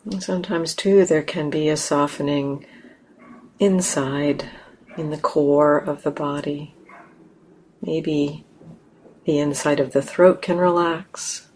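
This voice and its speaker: A woman teaching a guided meditation in a gentle, unhurried way with long pauses. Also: low-pitched, fairly even intonation, low-quality recording.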